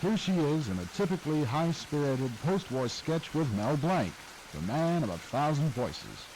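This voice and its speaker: A man giving scripted documentary narration about old-time radio, smooth and wry, like a showman. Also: a little high, animated, moderate pace, very noisy.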